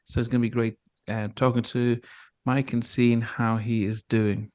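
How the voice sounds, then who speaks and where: A man hosts a casual interview podcast in a mid-pitched voice. His delivery is chatty and halting, with frequent pauses and ums between phrases. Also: little pitch movement, a little mellow. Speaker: podcast host.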